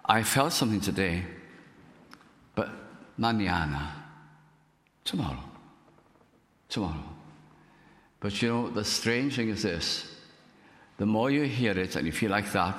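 A man with a Welsh accent whose first language is Welsh, preaching a sermon in an earnest, unhurried way, letting his voice drop softly at the ends of phrases. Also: lowish voice. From Wales. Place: church. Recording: long reverb of a hall.

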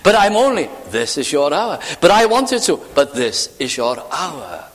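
A man preaching a sermon, acting out imagined voices and sinking to hushed, near-whispered phrases. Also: on the high side, big pitch swings, measured pace, in a large hall. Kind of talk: evening sermon.

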